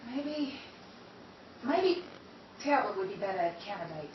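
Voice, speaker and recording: A woman playing an anguished, reluctant middle-aged daughter in an amateur radio play, pleading emphatically and haltingly. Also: medium pitch, very animated. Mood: reluctant, shocked. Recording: echoey, noisy, dull, distant microphone.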